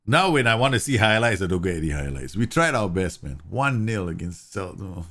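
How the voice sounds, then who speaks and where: Male streamer from Singapore, slightly low-pitched, relaxed running commentary. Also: fairly quick. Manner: chatty, laughs often, reads game messages aloud. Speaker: gaming streamer. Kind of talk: casual live game commentary.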